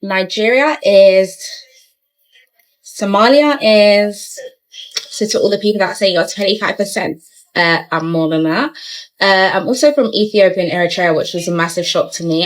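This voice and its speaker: A woman's voice: medium pitch, rough recording. A woman content creator talking casually to camera. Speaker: social media content creator.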